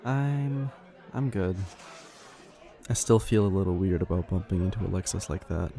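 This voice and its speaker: A man playing a casual, slightly defensive younger helper in an audio drama, speaking a little hesitantly in a low, creaky voice. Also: steady tone, moderate pace, crowd noise. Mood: casual, uneasy. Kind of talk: audio roleplay acting.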